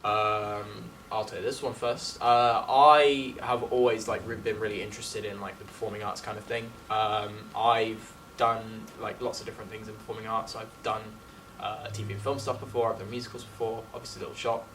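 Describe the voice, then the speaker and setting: A man's voice: on the low side, flat delivery, moderate pace, a bit bright. Effusive teenage man, slightly distant. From England. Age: late teens. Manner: effusive, peppered with "like". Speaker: student actor being interviewed. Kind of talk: casual interview chat. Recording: distant mic with faint background noise.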